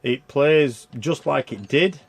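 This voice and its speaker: A man's voice: on the high side, expressive, takes it slowly, creaky. A man giving casual, rambling gameplay commentary, thinking aloud as he plays and reviews a video game.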